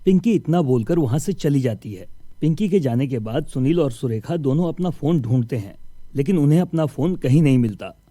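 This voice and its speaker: A man's voice: on the high side, lively intonation, brisk, mellow, no echo. A man narrating a scripted moral story as a storyteller.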